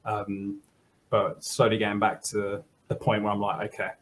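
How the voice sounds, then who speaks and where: A German man in his thirties, a software developer chatting as a guest on a video call, laughing often and restarting his sentences. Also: lowish voice, completely flat delivery, normal speed. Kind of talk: casual interview talk.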